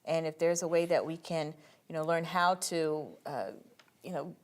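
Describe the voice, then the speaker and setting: A woman's voice: low-pitched, normal speed. A woman in her late twenties, the show's producer, speaking sincerely in casual talk. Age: 20s. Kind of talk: casual talk show chat.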